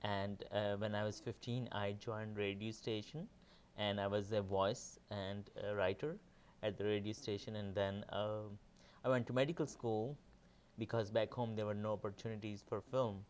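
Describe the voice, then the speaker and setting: A middle-aged man from Pakistan, answering interview questions as a film director in a measured way with many pauses. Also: fairly low pitch, level tone, darkish tone, a little hiss or hum.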